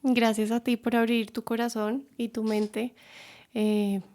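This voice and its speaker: A woman interviews a guest on her podcast. Her voice sits fairly high, and she asks her questions gently, encouraging him to open up. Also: flat delivery, takes it slowly. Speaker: podcast host.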